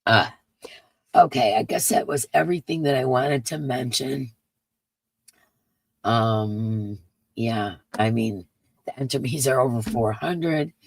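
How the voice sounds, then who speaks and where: A woman with a raspy voice hosting a casual livestream in her yarn room, greeting chat viewers by name in a sing-song way and sounding emotional. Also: very deep voice, slow pace, long pauses, dry recording. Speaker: livestream host.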